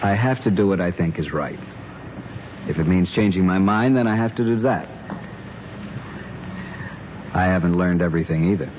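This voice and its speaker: A man's voice: deep voice, moderate pace, long pauses, a little mellow, natural voice. An actor playing a stern, worried middle-aged American father in a radio drama, speaking firmly and earnestly. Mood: firm, calmer. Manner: firm, earnest, emphatic. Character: stern, worried father, a banker, laying down the law. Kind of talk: mid-century American radio drama acting. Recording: muffled, noisy old radio recording.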